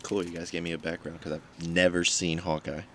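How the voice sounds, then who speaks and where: A young man in a casual podcast chat, laid-back and dryly joking, his voice a little raspy. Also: sits low, little pitch movement, fairly quick, a bit bright. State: slightly raspy voice.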